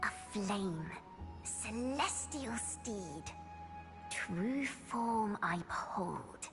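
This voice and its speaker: A woman playing an aloof, solemn young poet in a game, speaking softly in measured, lyrical phrases. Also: mid-range voice, lively intonation, music in the background. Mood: hushed, reverent. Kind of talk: dramatic game voice acting, poetry recitation.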